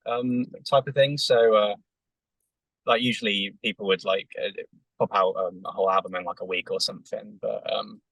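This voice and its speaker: Hesitant English musician, dry car sound. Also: male voice, on the low side, steady tone, normal speed, vocal fry, a bit bright, no echo, poor audio. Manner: hesitant, many fillers and restarts. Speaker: band member. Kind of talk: casual interview chat. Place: inside a parked car.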